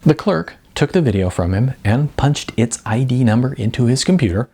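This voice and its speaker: A man reading a novel aloud with an expressive storytelling delivery. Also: mid-range voice, moderate pace, slightly rough. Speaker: audiobook narrator. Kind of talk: scripted narration of a novel.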